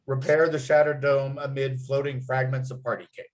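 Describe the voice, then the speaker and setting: A man's voice: mid-range voice, level tone, normal speed, poor audio. A man reading screenplay stage directions as the narrator of a table read.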